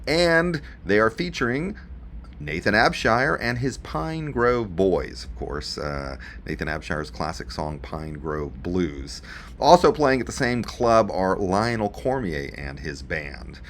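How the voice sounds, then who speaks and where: Male radio presenter, folksy and chatty showman, highly animated intonation, faint noise behind. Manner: chatty showman addressing listeners, with dramatic repeats. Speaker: radio show host.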